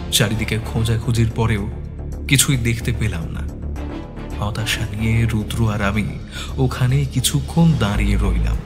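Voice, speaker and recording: A man narrating a detective story as the sleuth's loyal, concerned companion, in a measured dramatic style. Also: medium pitch, lightly acted. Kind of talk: scripted suspense story narration. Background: background music.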